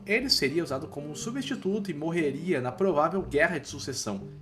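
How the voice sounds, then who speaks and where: A man with a Brazilian accent narrating a scripted story recap in casual, slangy language. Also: on the high side. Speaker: narrator of manhwa recap videos. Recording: voice-over with music underneath.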